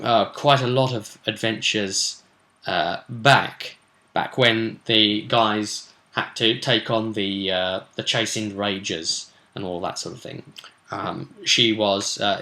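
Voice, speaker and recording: A man's voice: fairly low pitch, bright, forceful tone. A man talking informally as a game master, explaining rules and recapping results with enthusiasm and chatty asides. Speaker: game master recapping a tabletop role-playing session.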